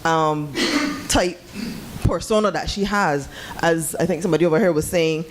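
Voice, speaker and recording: Participant from Barbados, halting comment, faint room noise behind. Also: medium pitch, fairly even intonation, poor audio. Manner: hesitant with many fillers. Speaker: seminar participant. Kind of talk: comment from the audience. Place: seminar room.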